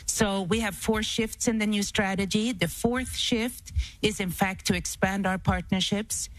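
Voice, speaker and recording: A woman's voice: medium pitch, steady tone, a little slow, no room sound, poor audio. A woman speaking as an executive on a panel, giving an organized but unscripted answer. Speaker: development bank executive on a panel.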